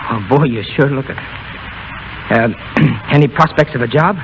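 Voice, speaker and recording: An actor playing a brash but soft-hearted burlesque show boss, slangy and harried, in 1930s radio style. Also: male voice, medium pitch, moderate pace, muffled recording, lightly acted. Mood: concerned. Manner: slangy, exasperated, urgent. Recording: noisy vintage 1930s radio broadcast.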